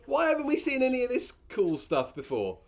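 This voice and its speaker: A man's voice: extremely high pitch, huge pitch swings, fairly quick, creaky. A man co-hosting a casual film commentary with crude, irreverent, mocking banter. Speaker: podcast co-host.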